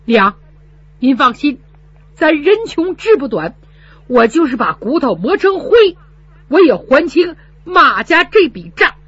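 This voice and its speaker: A woman's voice: high voice, big pitch swings, unhurried, long pauses, dry recording, dull audio, pitched above the performer's own voice. Woman doing a proud, defiant young son. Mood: earnest. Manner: earnest, defiant. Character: devoted young adult son, proud and hot-tempered.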